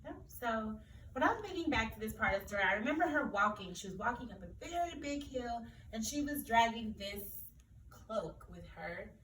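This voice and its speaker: Female teacher, higher voice, explaining and repeating key points for kids; distant mic, echoey room. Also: expressive, normal speed, a bit bright. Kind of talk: read-aloud lesson discussion for children. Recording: roomy echo, distant mic, faint noise.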